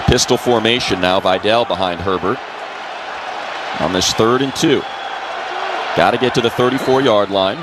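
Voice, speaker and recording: A man's voice: fairly low pitch, normal speed, long pauses. A man doing live radio play-by-play of American football in clipped, descriptive phrases. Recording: crowd and ambient noise behind. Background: stadium crowd noise.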